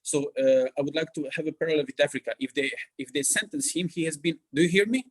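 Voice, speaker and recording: A man in the audience of a guest lecture asking a long question, halting and full of fillers. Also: a little high.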